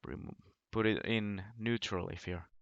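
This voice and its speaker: Finnish guy narrating a car game, kinda low voice, keeps restarting sentences. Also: moderate pace, vocal fry, no room sound, dull audio. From Finland. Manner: relaxed, hesitant, restarts phrases often. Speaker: gameplay commentator. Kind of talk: casual game commentary. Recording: loud game car noise behind. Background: game engine and vehicle sounds.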